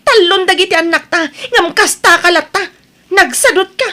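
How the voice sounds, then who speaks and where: A woman's voice: extremely high pitch, normal speed, sharp tone. A woman playing an exasperated, long-suffering young wife in an audio drama, scolding and pleading with a Filipino accent.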